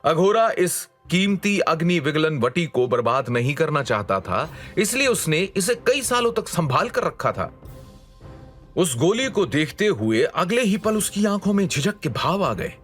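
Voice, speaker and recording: Male fantasy story narrator over soft music. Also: fairly high pitch, very animated, a little slow. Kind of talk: dramatic scripted fantasy narration. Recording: music behind the voice. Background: background music.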